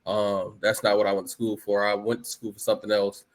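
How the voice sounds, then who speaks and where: Low-pitched male guest from Los Angeles, late thirties, loose and rambling. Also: a little fast. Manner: relaxed, rambling, many fillers and restarts. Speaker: guest artist. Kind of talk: casual interview.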